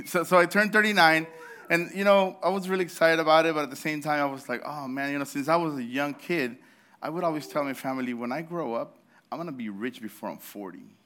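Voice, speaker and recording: A man's voice: on the high side, brisk, pushed and bright. A man in his thirties preaching a sermon in church, telling a story with laughs and restarts, his throat slightly sore. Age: 30s. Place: church service.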